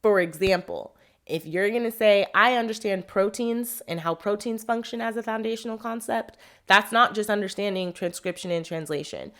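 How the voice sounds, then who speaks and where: A woman's voice: mid-range voice, moderate pace, a bit bright. Casual Southern Californian woman tutoring. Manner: conversational, uses "like" and acts out questions to herself. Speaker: MCAT tutor. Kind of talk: unscripted teaching monologue.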